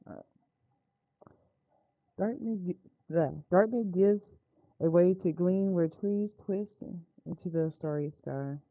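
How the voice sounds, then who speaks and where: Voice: medium pitch, slow pace, pauses often, no echo. An androgynous-sounding American game streamer speaks in a mid-range voice, informal and unfiltered. The talk is casual, muttered and sweary, aimed at live viewers. The sound is dry and close but a little dull and lo-fi. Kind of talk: casual live game stream chat with read-aloud visual novel text.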